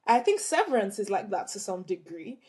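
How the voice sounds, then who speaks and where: A young woman on a film podcast talks through her views in a measured, considered way. Her delivery is steady and casual, at an everyday middle pitch. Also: slightly rough. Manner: measured, thoughtful, weighs her words. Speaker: podcast co-host, film reviewer. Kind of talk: casual review chat.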